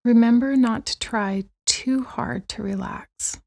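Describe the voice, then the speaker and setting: A woman guides a meditation with a soft, mellow tone and a creaky edge. She speaks gently and very slowly, letting long pauses settle between her soothing phrases. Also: on the high side. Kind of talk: guided body-scan meditation.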